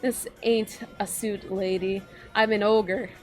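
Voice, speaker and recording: A woman voicing a big, gruff but friendly male ogre with a Scottish accent and a blunt, folksy way of talking, in a fanfiction reading. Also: medium pitch, little pitch movement, takes it slowly, natural voice. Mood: gruff, amused.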